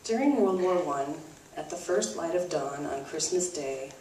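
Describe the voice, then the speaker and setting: A woman's voice: low-pitched, expressive, measured pace, a little mellow. A woman presenting a scripted live show in a theatrical, conversational storytelling manner. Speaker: puppet show performer and presenter. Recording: roomy, distant mic, faint noise.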